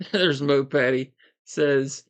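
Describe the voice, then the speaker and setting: A man with a calm, soothing voice, hosting a casual livestream, reading viewer comments in a relaxed, meandering way. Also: a little high. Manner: relaxed, meandering, frequent fillers and soft chuckles. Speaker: livestream host.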